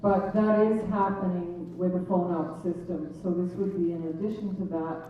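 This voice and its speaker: Loud assertive woman, far off. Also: fairly low pitch, fairly even intonation, moderate pace, slight background noise. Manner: assertive, matter-of-fact. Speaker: audience member. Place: community hall meeting. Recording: echoey hall from far off, phone-like.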